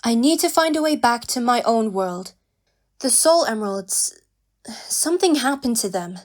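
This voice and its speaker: A woman's voice: on the high side, animated, normal speed, lightly acted. A woman voicing a guarded, confident young cat warrior in a dramatic audio story, speaking formally and with composure. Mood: dejected, composed.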